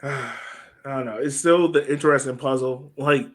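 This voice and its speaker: A man hosting a soccer podcast in casual chat, informal and rambling as he thinks out loud. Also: mid-range voice, steady tone, moderate pace.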